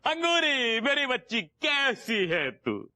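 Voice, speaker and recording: An emotional old father, as a man puts him on, coaxing and pleading in high, swooping tones. His voice is bright and edgy, with a sweeping, theatrical pitch. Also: wildly swooping pitch, slow pace, heavily acted.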